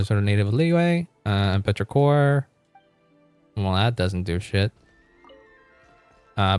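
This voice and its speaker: A man's voice: fairly low pitch, clean tone. A man livestreaming a game, chatting casually with viewers in a rambling, informal way with some mild swearing.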